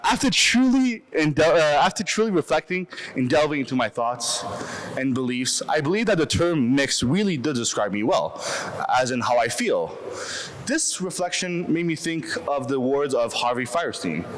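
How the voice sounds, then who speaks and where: Earnest young man, lively measured talk. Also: a little high, a little hiss or hum, rough recording. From Canada. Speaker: speaker giving a personal talk. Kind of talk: prepared reflective talk.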